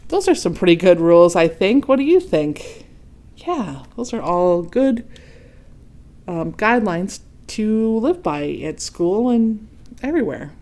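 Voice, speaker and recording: A woman reading a children's storybook aloud expressively, pausing to ask her young listeners questions and add comments. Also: medium pitch, very animated, dark tone. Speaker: read-aloud host.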